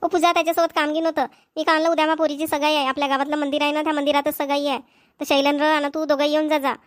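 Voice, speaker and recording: A hurried village mother in a homely cartoon comedy, acted by a woman. Her voice is high and she rattles along quickly in rustic Vidarbha Marathi, pressing each point again. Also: little pitch movement, close to an everyday voice. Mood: brisk, insistent. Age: middle-aged. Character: busy village mother rushing to invite neighbours to engagement. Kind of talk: amateur cartoon comedy dialogue.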